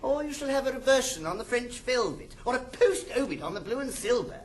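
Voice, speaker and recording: An actor playing a cheeky, self-important manservant in classical stage comedy, breezy and affected. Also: male voice, very high-pitched, lively intonation, a little fast. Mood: jaunty. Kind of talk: 1960s recorded classical stage comedy acting.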